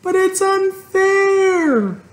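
A man voicing an eight-year-old farm girl who pleads in a shrill, outraged voice. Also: sing-song, slow, full character voice. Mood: distraught.

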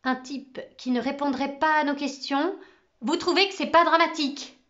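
An excitable schoolboy, put on by a woman reading a storybook, pushes his words out urgently and loudly. The voice sits high and bright, and the recording sounds slightly muffled. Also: measured pace. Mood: anguished. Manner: urgent, pleading, loud. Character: excitable schoolboy, bespectacled class ringleader.

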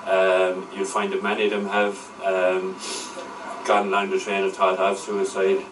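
A man in his fifties giving a halting, hesitant interview answer. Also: low-pitched, monotone. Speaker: anti-eviction volunteer interviewee. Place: outdoors on courthouse steps. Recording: roomy, slightly distant, faint noise.

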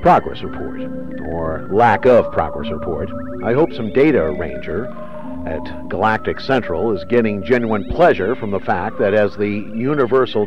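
An officious, sarcastic alien agent in a radio play, anxious and exasperated. His mid-pitched voice swings up and down over clear music, with a slightly dull sound. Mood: sarcastic, alarmed. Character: anxious, exasperated male alien agent dictating progress reports. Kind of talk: radio drama monologue.

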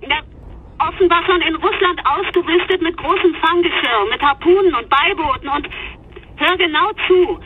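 Brisk woman on tinny phone. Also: extremely high pitch. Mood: businesslike. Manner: curt, impatient, informative. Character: brisk, businesslike female investigator colleague. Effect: telephone filter. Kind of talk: radio drama dialogue. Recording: tinny bad phone line.

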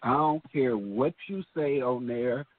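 A man's voice: mid-range voice, slow. A man co-hosting a casual talk show, speaking bluntly and emphatically with a lot of profanity. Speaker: co-host. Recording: tinny phone line.

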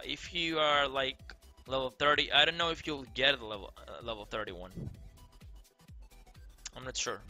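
A man's voice: medium pitch, sharp tone. A young man from Greece with a Greek accent, chatting loosely with viewers on a game live stream, full of fillers and "crazy". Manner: loose and rambling, many fillers, keeps saying crazy. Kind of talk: casual live stream chat, reading viewer comments. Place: indoor room, seen on webcam.

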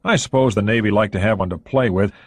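A male narrator playing a cocky young American lieutenant who cracks jokes in a relaxed way. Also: lowish voice, fast talker, no room sound, studio quality, natural voice. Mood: amused. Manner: wisecracking, relaxed.

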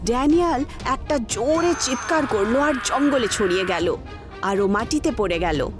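Female horror-story narrator: dramatic scripted read, very animated intonation, clear music underneath. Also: on the high side, normal speed.

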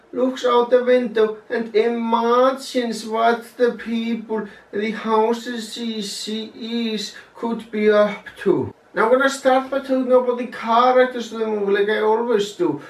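A young man giving a casual, blunt movie review, swearing freely as he talks it through. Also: very high-pitched. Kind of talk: casual spoken film review. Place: small plain room indoors, seated. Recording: roomy, mic at a distance.

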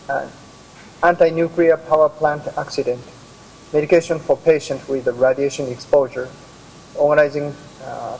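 A middle-aged Japanese man with a Japanese accent, a hospital doctor presenting slides, halting with many pauses. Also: medium pitch, gravelly, a little mellow, slight background noise, low-quality recording. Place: office with bookshelves. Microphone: video call.

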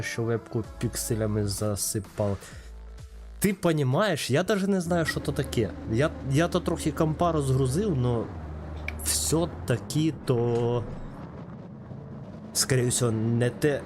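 A man a mid-range voice talks casually to his chat while racing, greeting viewers by name and swearing now and then. Game music plays clearly behind him. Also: medium pitch. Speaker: streamer. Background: game music and engine sounds.

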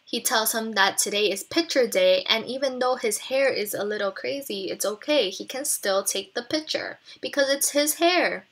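Female teacher, bright clear-cut tone, expressive picture-book read-aloud with explanatory asides. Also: a little high, moderate pace, few pauses. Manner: expressive, explains to young listeners with check-in questions.

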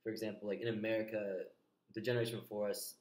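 A young man asks curious follow-up questions at a quick pace. His voice sits on the low side. He sounds casual, and his voice echoes in a small room. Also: little pitch movement, a little mellow. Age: young adult. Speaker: interviewer. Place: small bedroom, indoors.

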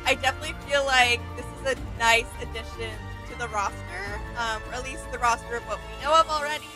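A woman's voice: fairly high pitch, fairly quick, music in the background. A woman, chatting casually as co-host of a reaction. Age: 20s. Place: small home gaming room, indoors.

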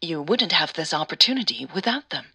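A woman voicing a calm, patient mother who gently soothes and advises her daughter in an audio drama. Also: sits low, a little fast, husky, full character voice. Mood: advising.

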